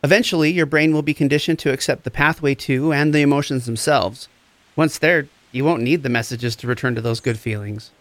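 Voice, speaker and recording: An actor playing a gentle, encouraging empath therapist, speaking in a reassuring, deliberate way in a scripted audio drama. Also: male voice, medium pitch, no room sound, close to an everyday voice. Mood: calm, supportive. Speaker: actor in a fiction podcast.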